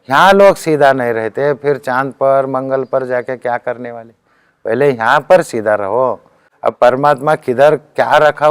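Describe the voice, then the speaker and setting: A man's voice: medium pitch, clear, resonant tone, no room sound. A man with a Deccan Hindi accent giving a spiritual discourse as a teacher, telling accounts earnestly and quoting speech as he goes.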